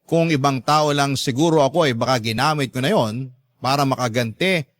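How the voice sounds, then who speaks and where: A man's voice: medium pitch, normal speed, a bit bright, dry recording. A man narrating a scripted first-person story as the host of a radio drama.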